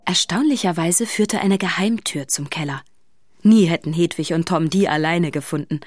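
A woman's voice: lowish voice, normal speed, no room sound, close to an everyday voice. A woman narrating a scripted children's audio drama as storyteller.

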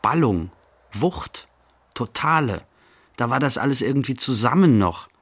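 An actor playing a reflective, weary man in a radio play monologue, speaking haltingly in short, musing phrases with a creaky voice. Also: mid-range voice, animated. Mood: wistful, tender.

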